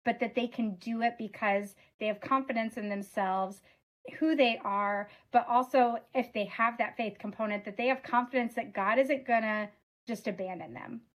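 Earnest woman with a slightly raspy voice. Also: on the high side, steady tone, moderate pace. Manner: earnest, many fillers like "oh my gosh". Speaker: ministry leader guest. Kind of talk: casual interview answers.

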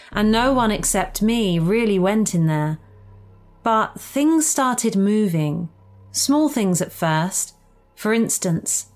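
A woman reading a first-person ghost story as scripted narration. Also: medium pitch. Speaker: story reader.

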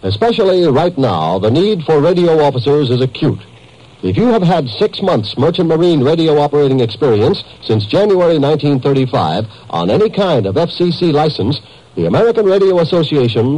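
A male announcer reads a scripted notice in 1950s radio style. His voice is mellow and fairly high for a man, rising and falling with lively emphasis. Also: low-quality recording. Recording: vintage radio broadcast.

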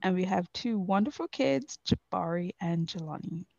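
A woman's voice: on the low side, lively intonation, normal speed, vocal fry, a little mellow, dry recording, dull audio. A woman in her late thirties chatting on a podcast, joking and hesitant at times. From Bermuda. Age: 30s. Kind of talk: casual podcast chat.

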